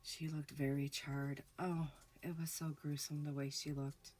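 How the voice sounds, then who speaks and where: A woman hosting a ghost story show, telling a personal haunting story slowly with hushed pauses, her voice a little off from a recent illness. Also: sits low, level tone, slight background noise. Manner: slow, hushed, dramatic pauses. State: recovering from illness, voice sounds off. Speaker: storyteller host. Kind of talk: spoken ghost story narration.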